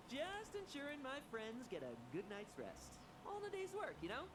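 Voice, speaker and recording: A woman's voice: sits high, wildly swooping pitch, moderate pace. An actress playing a cheeky young woman knight with a playful, teasing manner. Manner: playful, teasing, swinging between cheer and gloom. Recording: game audio with noise.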